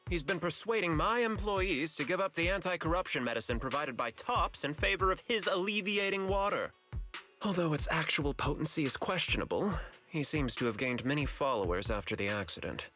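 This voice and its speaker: Game businessman: higher voice, suspicious and self-justifying, emphatic, formal diction, music underneath. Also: animated, a little fast, pushed and bright. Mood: guarded suspicion. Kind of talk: video game story dialogue acting.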